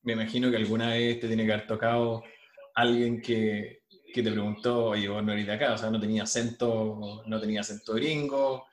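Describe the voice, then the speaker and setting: A man's voice: fairly low pitch, level tone, brisk, reverberant. A man with a Chilean accent hosting an interview, asking long, winding questions. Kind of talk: casual interview.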